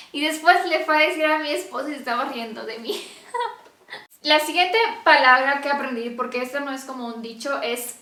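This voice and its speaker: A woman's voice: high-pitched, normal speed, pushed and bright. A young woman from Romania, chatting casually to camera in Spanish and laughing often. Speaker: vlogger. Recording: echoey room.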